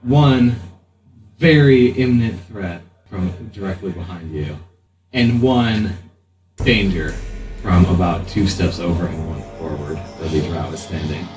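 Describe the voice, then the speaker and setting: A man's voice: sits low, a little slow, darkish tone. A man improvising narration as game master of a tabletop role-playing game. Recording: roomy, distant mic, faint music underneath.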